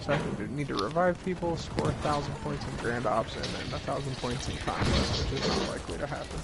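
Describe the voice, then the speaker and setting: A man's voice: medium pitch, a little fast, gravelly, heavy background noise. A man chatting casually with friends as a fellow player on a gaming stream, with a dry, joking manner. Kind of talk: casual chat.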